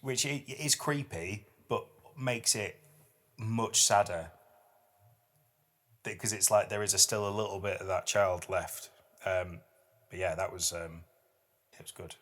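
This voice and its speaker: A man's voice: deep voice, unhurried, long pauses, a bit bright. A middle-aged man hosting a podcast, moving between casual, reflective chat and reading poetry aloud. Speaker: podcast host.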